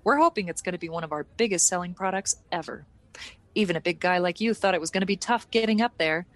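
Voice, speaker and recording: A woman's voice: lowish voice, fast talker, fairly bright tone, lightly acted. A woman playing a confident, petite truck designer in a scripted comedy radio drama, casual and folksy. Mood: cocky.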